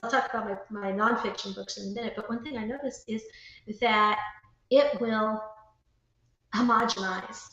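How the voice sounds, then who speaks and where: A woman guest in a casual conversation, explaining her thoughts haltingly, with pauses between phrases. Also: fairly high pitch, fairly even intonation, a bit bright. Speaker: interview guest, writer. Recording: very echoey, roomy sound.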